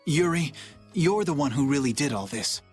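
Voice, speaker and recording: An actor playing an earnest, dutiful young knight captain with a sincere, measured manner in a video game. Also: male voice, fairly high pitch, lively intonation, darkish tone, soft background music, natural voice.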